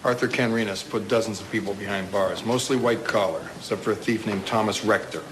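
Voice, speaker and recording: Male lead actor for a crime audio drama: dogged detective, lowish voice, clipped and dry, faint noise behind. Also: steady tone, fairly quick, close to an everyday voice. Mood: dry, pondering. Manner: clipped, matter-of-fact questioning.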